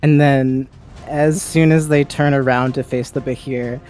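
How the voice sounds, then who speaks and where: A woman's voice: rumbling low, steady tone, unhurried, darkish tone. A woman playing a tabletop game, narrating her character's actions in the third person.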